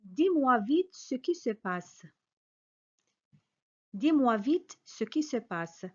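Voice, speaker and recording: High-voiced teacher woman drilling phrases. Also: sing-song, extremely slow, dull audio. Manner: careful, clearly spoken model sentences, each repeated. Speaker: French teacher. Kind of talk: slow repeated phrase drills with quick translations.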